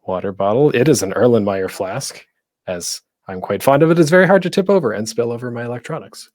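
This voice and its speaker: A man's voice: medium pitch, fairly quick, darkish tone. A man presenting a casual online panel as a podcaster, speaking off the cuff in a rambling, self-deprecating, jokey way.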